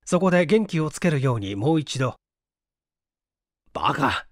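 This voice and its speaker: A man reading a mystery story aloud as scripted narration, in a measured, suspenseful, theatrical manner. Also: a little high, sing-song, darkish tone, no room sound, studio quality. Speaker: audiobook reader.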